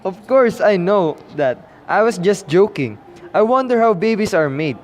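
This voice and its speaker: A man's voice: high voice, lively intonation, a little slow, slight background noise. A man playing a curious, chatty young child in an amateur puppet show, a bit boastful and full of questions. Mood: confident, curious.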